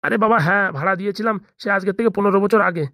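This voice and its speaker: A man's voice: high voice, fairly even intonation, very fast, bright, forceful tone, dry recording, clean studio sound. A man voicing a blunt, self-important small-time gangster boss in a comedy cartoon, bossy and businesslike with a Bengali accent. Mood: grumbling. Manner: bossy, businesslike, repeatedly seeking agreement.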